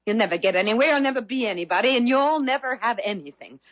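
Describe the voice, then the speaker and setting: Actress as bitter desperate young woman, husky, animated pitch, dry muffled 1970s radio drama. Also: fast, no room sound, lightly acted. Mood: bitter, furious. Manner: emotional, scornful, pleading.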